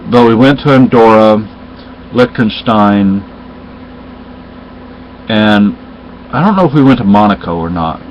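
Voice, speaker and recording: A man's voice: lowish voice, lively intonation, a little hiss or hum. Rambling middle-aged man. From Spain. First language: Spanish. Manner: rambling, halting, with many restarts. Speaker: storyteller in casual conversation. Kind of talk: casual chat. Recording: dull lo-fi recording.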